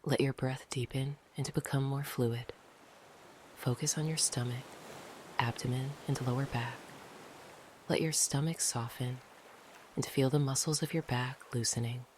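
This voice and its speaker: A meditation narrator speaks in a soft, breathy voice that often slips toward a whisper. The delivery is calm, gentle and unhurried, with long pauses between phrases. Faint noisy ambience sits behind the voice. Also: mid-range voice. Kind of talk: slow, soothing guided meditation narration.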